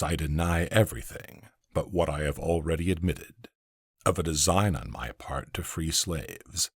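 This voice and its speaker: A man playing a solemn, defiant older condemned abolitionist, delivering a deliberate, emphatic courtroom speech in a husky voice. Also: low voice, no echo, studio quality, full character voice. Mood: solemn, restrained. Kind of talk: dramatic reading of a historical courtroom speech.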